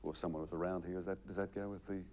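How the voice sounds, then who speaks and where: Deep, fast Australian man interviewing. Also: fairly even intonation, a little mellow. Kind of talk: conversational celebrity interview. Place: living room in a house.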